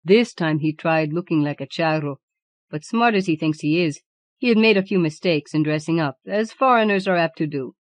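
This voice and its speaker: A woman's voice: low-pitched, moderate pace, no room sound, lightly acted. A woman voicing a shrewd peasant man in a storybook, folksy and self-assured. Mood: confident. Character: plain-spoken, confident peasant man, shrewd.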